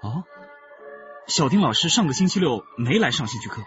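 A man's voice: medium pitch, very animated, fairly quick, lo-fi sound, lightly acted. An actor playing a shrewd, genial male police detective in a radio drama. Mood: inquiring. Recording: band-limited radio drama with music behind.

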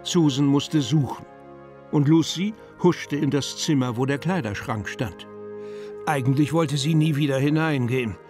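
A man's voice: on the high side, a little slow, natural voice. A man narrating a German children's radio play in a scripted storybook style. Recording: music behind the voice.

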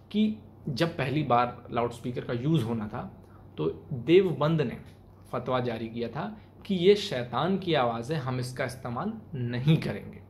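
A young man with an Indian accent giving emphatic, colloquial opinion commentary to camera in a small room. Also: mid-range voice. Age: young adult. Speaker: video commentator, channel host. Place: small plain room indoors. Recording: clearly noisy room recording.

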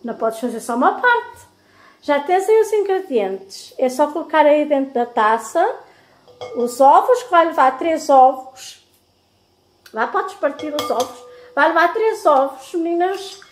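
Middle-aged female vlogger, European Portuguese accent, high-pitched, chatty and familiar, recorded in an echoey kitchen. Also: animated, unhurried. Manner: chatty, affectionate address to viewers. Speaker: home vlogger. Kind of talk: casual chat to viewers. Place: home kitchen, indoors.

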